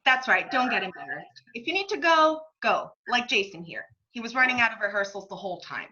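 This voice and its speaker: A woman's voice: fairly high pitch, big pitch swings, fairly quick, bright, forceful tone. A woman playing a cheeky, sardonic male stage actor who needles colleagues in a comic play reading. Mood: teasing. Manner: sarcastic, needling. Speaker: amateur actor in a remote group reading. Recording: dull, lo-fi internet call recording.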